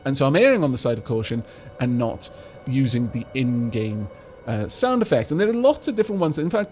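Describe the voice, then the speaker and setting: A man hosting a let's play, chatting casually and explaining game mechanics with a slight rumble in his voice. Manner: chatty, explanatory, frequent restarts and fillers. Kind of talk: casual gameplay commentary.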